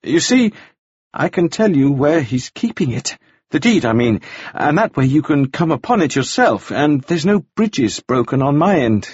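A man's voice: fairly high pitch, sing-song, moderate pace, a little mellow, husky, dry recording, pitched above the performer's own voice. A man voicing a nervous, flustered dandy who stammers and pleads anxiously. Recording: dull lo-fi recording.

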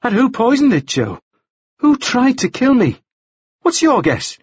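A man voicing a defiant, distraught young woman with sharp, emphatic retorts in an audiobook. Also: extremely high pitch, huge pitch swings, unhurried, husky, highs cut off, voice pushed up, heavily acted. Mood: indignant, fiery. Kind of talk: audiobook character acting.

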